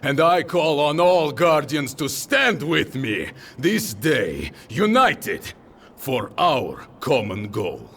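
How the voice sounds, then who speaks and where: A man playing a noble, earnest warrior in a fan audio drama, speaking in measured, impassioned oratory. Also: medium pitch, very animated, a bit bright, distant mic, slight background noise, exaggerated voice. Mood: rousing, resolute. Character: noble, earnest male warrior rallying his comrades with solemn conviction.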